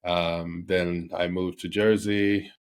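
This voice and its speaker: Middle-aged man from Barbados, deep monotone, halting guest with lots of ums. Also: clear, resonant tone. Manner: hesitant, many fillers and restarts. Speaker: podcast guest, company CEO. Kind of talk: casual interview.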